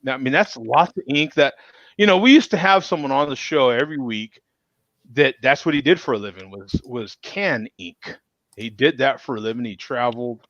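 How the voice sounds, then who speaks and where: A man's voice: medium pitch, lively intonation, moderate pace, a bit bright, no echo, highs cut off. A man hosting a casual chat show in a folksy manner, often repeating himself as he talks. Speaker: show host.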